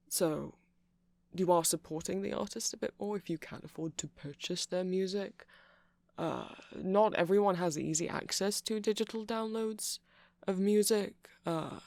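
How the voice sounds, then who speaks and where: Man playing a nerdy rambling vampire, creaky voice. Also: high voice, dry recording. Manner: hesitant, rambling, soft-spoken and earnest. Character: nerdy, chatty vampire boyfriend who rambles about music. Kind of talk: ASMR roleplay ramble.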